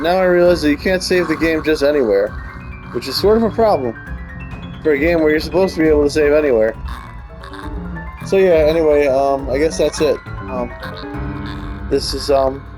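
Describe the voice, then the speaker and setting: Higher-pitched man doing a casual let's play. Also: low-quality recording. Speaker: gaming video host. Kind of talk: let's play, reading game text aloud with casual comments. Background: game music.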